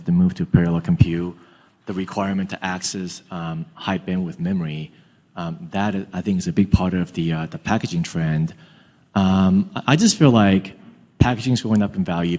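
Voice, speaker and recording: A man asking an analyst's questions on a conference call, unscripted and halting, rephrasing as he goes. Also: low voice, dark tone, in a large hall.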